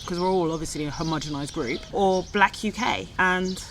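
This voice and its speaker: A woman's voice: on the low side, moderate pace, noisy background. A woman from London with a London accent, chatting on a podcast in a wry, self-deprecating way. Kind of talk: casual podcast chat. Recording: outdoors with birdsong.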